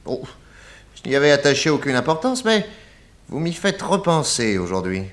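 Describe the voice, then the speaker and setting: Urbane detective, animated delivery. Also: male voice, fairly high pitch, moderate pace. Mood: thoughtful, interested. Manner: urbane, expansive, amused. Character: genial, self-assured American private detective of 1910. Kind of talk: 1960s French radio drama acting.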